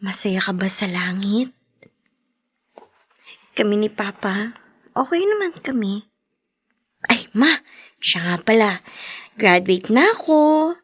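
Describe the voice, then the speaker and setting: A slow, tender young daughter. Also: female voice, mid-range voice, big pitch swings, slightly rough, dry recording, heavily acted. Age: young adult. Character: young woman, new graduate, affectionate daughter. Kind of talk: audio drama acting.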